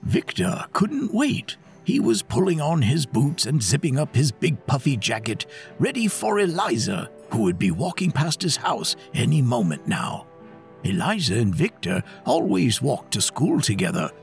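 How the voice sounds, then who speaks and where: Male narrator for children's audio story: hugely animated, dramatic, mid-pitched with some fry.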